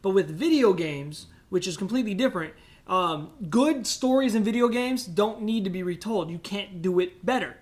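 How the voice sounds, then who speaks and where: A man's voice: high-pitched, lively intonation, moderate pace. A man co-hosting a podcast, debating casually in an opinionated, probing way. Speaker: podcast co-host.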